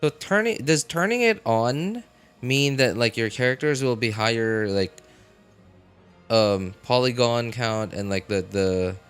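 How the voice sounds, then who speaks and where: A man live streaming a video game, chatting casually and thinking aloud in a halting way. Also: mid-range voice, a bit bright, clear, resonant tone, faint music behind. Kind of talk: casual live stream chat while gaming.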